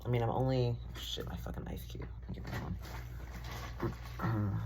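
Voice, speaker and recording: A man's voice: medium pitch, a little slow, gravelly, very noisy. A young man rambling casually in a diary-style vlog, full of fillers, swearing and self-deprecating asides. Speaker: vlogger.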